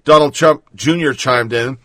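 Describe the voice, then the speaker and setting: A man's voice: mid-range voice, normal speed, fairly bright tone, dry recording. A man hosting political commentary, reading news and then ranting bluntly with sarcasm and profanity.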